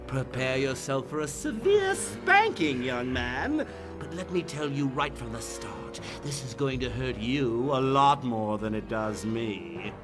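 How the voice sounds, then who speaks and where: A taunting cackling clown villain, drawls slow, pitch swinging wildly, music under it. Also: male voice, big pitch swings. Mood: mocking. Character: manic cruel male clown villain. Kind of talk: 1990s audio drama acting. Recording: audio drama clip with music behind.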